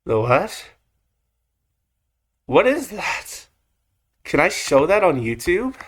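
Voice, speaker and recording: A man's voice: a little high, sing-song, takes it slowly, breathy edge. A man giving casual, rambling reaction commentary as a video host, with slangy phrasing and mild swearing. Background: music or drone from the video being watched.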